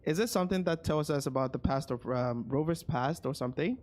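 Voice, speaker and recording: A man's voice: a little high, brisk, a little mellow, a little hiss or hum. A man doing casual live game commentary as a streamer, thinking aloud hesitantly.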